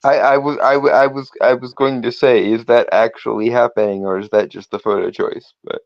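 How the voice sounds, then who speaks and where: A man's voice: mid-range voice, fairly even intonation, brisk, gravelly, dry recording, highs cut off. A man chatting casually as a game player, often restarting and repeating the openings of his sentences. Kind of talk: casual chat.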